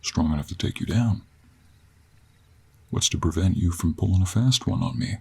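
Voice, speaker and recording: A man voicing a confident, sly cowboy monster hunter with a gravelly voice and an American Western accent in a fantasy audio roleplay. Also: low-pitched, normal speed, exaggerated voice. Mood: wry, negotiating.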